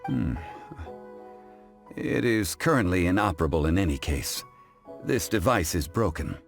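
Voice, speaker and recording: A very deep male voice for a wise, scholarly gentleman in a fantasy game. He is formal and unruffled, with courteous phrasing and a widely moving melody, over faint music. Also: expressive, a little slow, gravelly, heavily acted. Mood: calm. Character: composed, scholarly, dignified gentleman of ancient wisdom. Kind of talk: English game voice acting. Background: background music.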